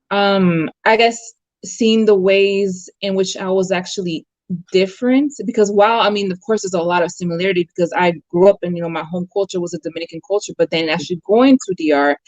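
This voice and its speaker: A woman's voice: on the low side, darkish tone, no echo, muffled recording. A young adult woman from the U.S. talking casually as a guest on a video call, thoughtful and full of fillers. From United States. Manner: reflective, many fillers and restarts. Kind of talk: casual conversation.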